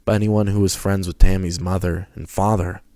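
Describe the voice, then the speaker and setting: Low-voiced man narrating a story. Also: flat delivery, moderate pace, dark tone, no echo. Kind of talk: dramatic reading of prose fiction.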